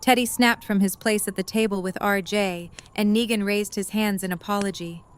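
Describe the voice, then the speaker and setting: A woman's voice: mid-range voice, steady tone, moderate pace, slight background noise. A woman reading scripted third-person narration for an audio drama.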